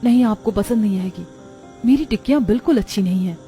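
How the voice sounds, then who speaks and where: A woman's voice: medium pitch, fast, warm tone, faint music behind, natural voice. A woman voicing a humble, honest female snack seller in a cartoon, earnest and apologetic. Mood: dejected.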